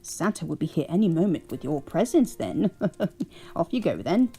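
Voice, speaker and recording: A woman's voice: lowish voice, sing-song, a little slow, dark tone, breathy edge, exaggerated voice. A woman voicing a kindly elderly English grandmother who reads a bedtime story aloud and fusses over her grandchild. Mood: cozy. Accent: put-on English. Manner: doting, chuckling, fussing over a grandchild.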